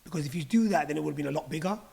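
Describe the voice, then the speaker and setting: A man co-hosting a podcast, asking probing questions in an animated, admiring way. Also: a little high, rapid-fire, creaky, slightly rough. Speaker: podcast co-host. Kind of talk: casual interview chat.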